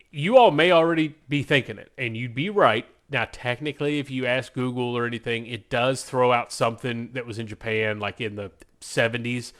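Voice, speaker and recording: A man's voice: medium pitch, a bit bright. Male podcast host, mid-range voice, loose conversational delivery that wanders informally. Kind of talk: casual podcast chat.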